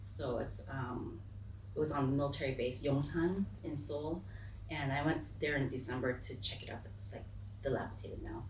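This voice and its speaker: A woman's voice: a bass voice, normal speed. An American woman in her forties telling her life story as an interview guest in a candid, informal, digressive way. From raised on US military bases, largely in South Korea. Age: 40s. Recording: echoey room, distant mic, faint noise.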